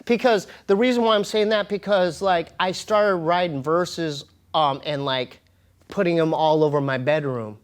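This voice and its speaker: A man telling personal stories as an interview guest, in an informal, slangy way with halting, repeated phrases. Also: a little high. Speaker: interview guest, comedian and podcast host. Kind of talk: casual animated storytelling.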